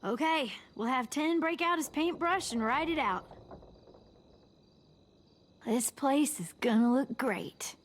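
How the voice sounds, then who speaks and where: A woman's voice: high voice, lively intonation, takes it slowly, long pauses, a little hiss or hum. A woman playing a chirpy, bustling teenage party organizer in a video game, with an upbeat, folksy manner. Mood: busy, cheerful.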